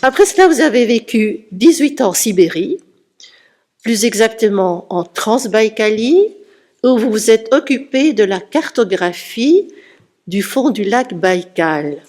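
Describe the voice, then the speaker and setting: A woman presenting and interviewing a poet, calmly explaining his background in a measured way. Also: a little high. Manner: measured, explanatory, reading out a biography. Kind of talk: literary presentation.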